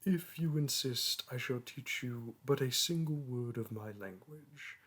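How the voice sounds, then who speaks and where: A man's voice: mid-range voice, measured pace, fairly bright tone. Man playing a proud, grandiloquent ancient dragon. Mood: haughty, tender. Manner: grandiloquent, archaic, formal phrasing. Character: proud, ancient male dragon teacher, haughty yet amorous. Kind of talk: romantic audio roleplay.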